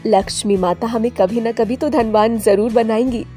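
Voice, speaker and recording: Poor idol-seller dad female narrator acting, high plaintive voice, devout, cartoon story, music beneath. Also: steady tone, moderate pace, dark tone, close to an everyday voice. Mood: gentle, resigned. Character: poor, sorrowful, devout father who sells idols. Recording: music under the voice.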